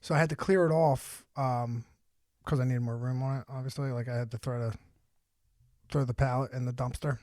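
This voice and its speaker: A man's voice: mid-range voice, fairly even intonation, a little fast, a little mellow, no room sound, studio quality. A man co-hosting a podcast, chatting casually and telling stories in slangy, sweary talk. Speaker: podcast co-host. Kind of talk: casual chat and anecdotes.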